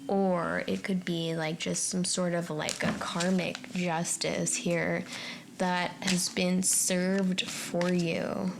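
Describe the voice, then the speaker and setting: A woman's voice: lowish voice, level tone, slow pace, gravelly, noisy background. A woman giving an unscripted tarot forecast straight to the viewer, musing aloud in a tentative way as she interprets each card. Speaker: tarot reader and channel host.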